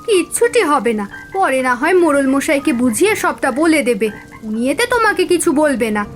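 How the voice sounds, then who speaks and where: Casting: actress as fretful cartoon village wife, high pitch, persuasive, plaintive, light music underneath. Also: female voice, big pitch swings, fairly quick. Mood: coaxing. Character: poor village wife and mother, coaxing, worried. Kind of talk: cartoon fairy-tale dialogue acting.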